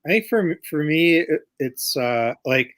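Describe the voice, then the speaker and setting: A man in casual conversation as an interviewed writer, thinking aloud haltingly and circling back over his phrases. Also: fairly high pitch, expressive, a bit bright. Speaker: comic book writer being interviewed. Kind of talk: casual chat.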